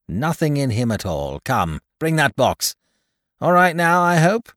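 A man voicing a harsh, sarcastic male toy merchant in a storybook reading, loud and sharp. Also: on the high side, sing-song, unhurried, no echo, clean studio sound, voice pushed up. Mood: sneering.

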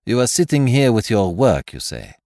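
Man doing a polite fussy detective, formal and exact, lively lilt. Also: medium pitch, moderate pace, no room sound, clean studio sound, pitched above the performer's own voice. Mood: courteous. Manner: courteous, precise, formal.

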